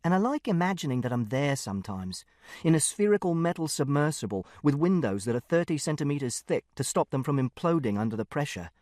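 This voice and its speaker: A man's voice: on the high side, sing-song, brisk, a little mellow, dry recording, clean studio sound. A man voicing an earnest, literal-minded teenage boy who narrates an audiobook in a precise, matter-of-fact way. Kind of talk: first-person audiobook narration.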